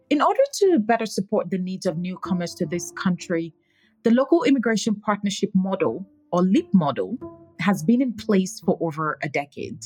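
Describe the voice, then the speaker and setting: A woman hosting a podcast, reading a measured scripted introduction and then interviewing a guest. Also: medium pitch. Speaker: podcast host.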